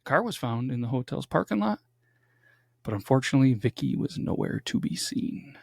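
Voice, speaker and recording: A man's voice: mid-range voice, sing-song, brisk, creaky, breathy edge, dry recording, studio quality. Chatty man hosting a crime podcast. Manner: chatty, jokey, dramatic build-ups. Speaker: podcast co-host. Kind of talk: casual true-crime podcast chat. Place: home studio.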